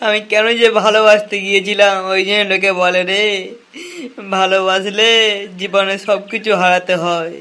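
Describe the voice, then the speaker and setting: Comic cartoon casting: male actor as hapless jilted young man, high-pitched, whiny, sarcastic, crude-mouthed. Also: flat delivery, pushed and bright, clean tone. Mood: lamenting, bitter. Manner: whining, sarcastic, drawn-out lamenting. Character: wronged young lovelorn man, foul-mouthed, whiny, jailed. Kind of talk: comic cartoon dialogue in colloquial Bengali slang.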